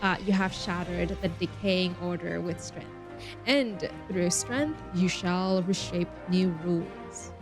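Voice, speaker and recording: A woman's voice: lowish voice, slow pace. A woman streaming a game, reading story text aloud expressively and mixing in casual, chatty asides. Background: game music.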